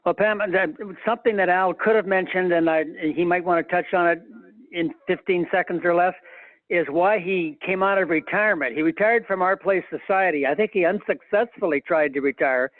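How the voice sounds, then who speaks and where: A man's voice: high voice, normal speed, no echo, narrow, tinny audio. A man talking conversationally in an online panel, prompting others with questions, over thin call audio. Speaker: panel speaker.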